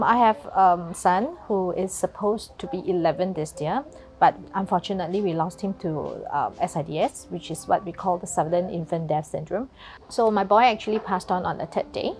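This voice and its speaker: A woman in her forties telling her personal story, speaking softly and hesitantly with many pauses. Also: lowish voice. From Singapore. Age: 40s. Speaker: mother sharing her story. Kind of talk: personal testimony.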